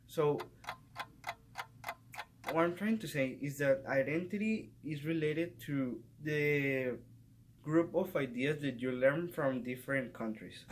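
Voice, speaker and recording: A young man who grew up in Mexico, a native Spanish speaker with a Mexican Spanish accent, giving a measured, semi-scripted talk sprinkled with "like". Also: mid-range voice, slight background noise. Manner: measured, with filler "like". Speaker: student vlogger.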